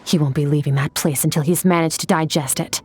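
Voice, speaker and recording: A woman's voice: low-pitched, steady tone, brisk, a little mellow, breathy edge. A woman playing an aloof, blunt, battle-hardened swordswoman in a video game, detached and matter-of-fact. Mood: detached, grave.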